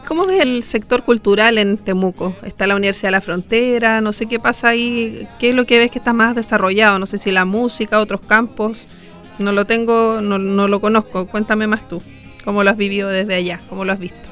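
A woman's voice: mid-range voice, steady tone, fairly quick, a bit bright, faint music behind. A Chilean woman hosting a casual interview, asking questions in a friendly, informal way.

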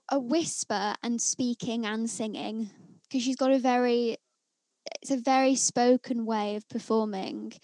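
A young woman with a fairly high voice talks through a song she loves, reading from her notes in a chatty, enthusiastic way. The sound is dry and close, with almost no room on it. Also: mellow, dry recording. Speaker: podcast co-host reviewing songs. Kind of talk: casual music review chat.

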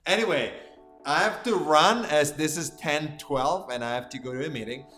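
A man with an Italian accent co-hosting a casual podcast, talking fast and joking about his own English. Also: on the high side, fairly bright tone. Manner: quick, playful, self-mocking. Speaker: podcast co-host. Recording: echoey room with faint music.

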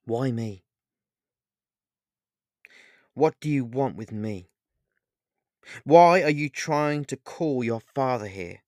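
A man voicing a distraught man in a fantasy story, pleading and accusing with emotional, halting speech. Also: on the high side, expressive, dry recording, clean studio sound, pitched above the performer's own voice. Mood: angry. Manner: emotional, halting, repeating words. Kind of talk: dramatic fantasy storybook dialogue.

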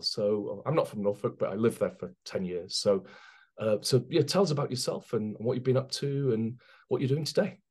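A middle-aged man hosting a football podcast, chatting casually with frequent ums. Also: medium pitch. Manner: relaxed, chatty, hesitant with ums. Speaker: podcast host.

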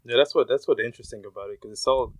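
A man's voice: mid-range voice, rapid-fire. A man hosting a casual group discussion, hesitant and circling back over his phrases. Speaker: podcast host.

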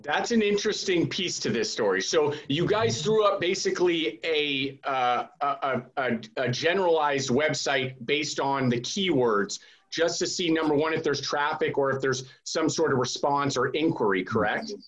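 An eager male podcast host with a fairly high voice. He often stumbles over repeated words as he builds toward his questions. The recording sounds dull and lo-fi. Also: normal speed, fairly bright tone. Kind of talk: podcast interview.

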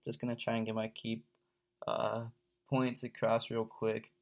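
Young guy, blocked nose from a cold, low gravelly voice, rambling podcast host. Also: monotone, a little slow. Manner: rambling, filler-heavy, laid-back. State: stuffed-up head cold, sore throat. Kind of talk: casual solo podcast chat.

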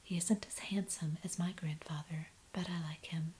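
A woman's voice: lowish voice, steady tone, moderate pace, mellow, slight background noise, close to an everyday voice. A woman voicing a bold, tomboyish teenage girl in a whisper. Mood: frank whisper.

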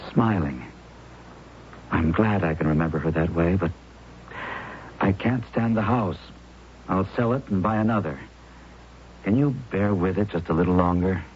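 An actor playing a grieving young widower with a quiet, sorrowful delivery in a 1970s radio drama. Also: male voice, low-pitched. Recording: dull old radio broadcast with faint hiss.

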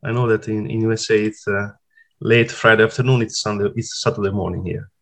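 A man in his forties speaks with a clear Italian accent, his voice low and gravelly with plenty of fry. He talks through memories as a podcast guest, pausing often with fillers and restarting his sentences. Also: steady tone. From Italy. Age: 40s. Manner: hesitant, many fillers and restarts. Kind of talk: casual interview talk.

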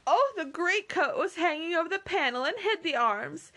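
A woman voicing an excitable, self-important young gentlewoman who gushes in exclamations, in a novel reading. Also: extremely high pitch, moderate pace, pitched above the performer's own voice, full character voice. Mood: excited, self-justifying. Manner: gushing, exclamatory.

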